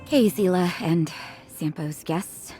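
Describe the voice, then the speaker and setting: A woman voice acting a composed, kind doctor in a video game, speaking in a calm, measured, polite way. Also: fairly low pitch, soft background music, poor audio. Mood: calm, grave.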